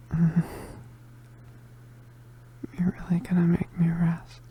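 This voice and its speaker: Girl doing a hurt witch boy for asmr, soft whispery n stammery, really slow, protective but fragile, bit of hiss. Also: on the low side, rough recording. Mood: stubborn, amused. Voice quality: breathy, often whispered. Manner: halting, stammering, hesitant. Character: injured young male witch, gentle, protective, vulnerable. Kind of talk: ASMR roleplay, soft intimate acting.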